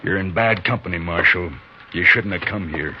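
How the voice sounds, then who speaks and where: An actor playing a menacing, suspicious outlaw in a 1950s radio western, with an American folksy drawl and a slow, taunting manner. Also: male voice, low-pitched, natural voice. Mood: coldly threatening. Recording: dull, noisy 1950s radio recording.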